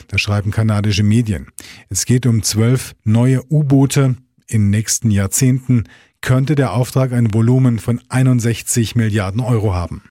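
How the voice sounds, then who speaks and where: A man's voice: medium pitch, dark tone, dry recording, clean studio sound. A man reading scripted radio news as the anchor, in standard German.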